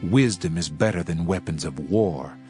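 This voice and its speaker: A man playing a solemn ancient preacher-sage in a dramatized King James scripture reading, measured and reflective, with archaic diction. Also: low-pitched, expressive, music in the background. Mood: earnest, grave. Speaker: scripture reader in a dramatized audio Bible.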